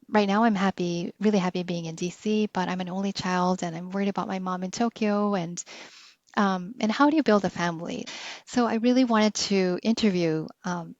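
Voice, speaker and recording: A woman's voice: mid-range voice, darkish tone, no echo. A woman hosting a podcast, talking in a casual, reflective monologue. She speaks earnestly, with frequent "um"s and small laughs. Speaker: podcast host. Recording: dull, slightly lo-fi dry recording.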